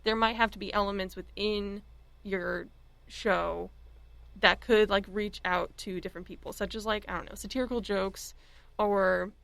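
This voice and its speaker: A woman of average pitch talks casually on a podcast panel. Her voice has plenty of vocal fry, she leans on filler words, and the recording is very dry. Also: steady tone, normal speed, fairly bright tone, dry recording. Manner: informal, filler-heavy with many "like"s. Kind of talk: casual chat.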